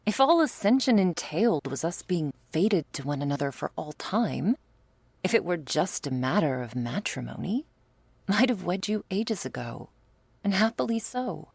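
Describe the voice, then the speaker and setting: A woman playing a stern but devoted vampire lover in an ASMR roleplay, speaking in a formal, measured, affectionate way. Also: lowish voice, very animated, creaky, darkish tone, husky, dry recording, full character voice. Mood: earnest, devoted.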